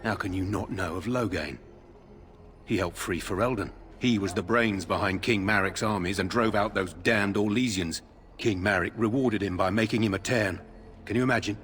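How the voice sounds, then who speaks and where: An actor playing a loyal but gossipy royal guard in a video game, formal yet confiding. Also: male voice, lowish voice, moderate pace, a bit bright, faint music behind, lightly acted. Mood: guarded, admiring. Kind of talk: video game character dialogue.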